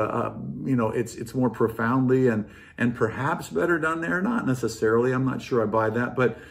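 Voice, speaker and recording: This is an American man in his sixties with a medium-pitched voice. He speaks like a pastor sharing an informal reflection from home. His manner is earnest and thoughtful, and he repeats key phrases to drive them in. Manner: earnest, reflective, emphatic repetition. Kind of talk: informal devotional talk to camera. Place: living room at home, indoors.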